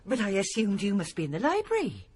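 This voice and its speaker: An actress playing a flustered, deferential housekeeper in a period radio drama, speaking breathlessly. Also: female voice, mid-range voice, huge pitch swings, fast, a little mellow, slight background noise. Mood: flustered, uneasy. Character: flustered apologetic woman housekeeper in an old house.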